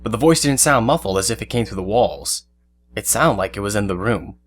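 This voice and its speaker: A man's voice: lowish voice, lively intonation, brisk, slight background noise. A man giving scripted third-person narration for an audio drama. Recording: faint music under narration. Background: faint music.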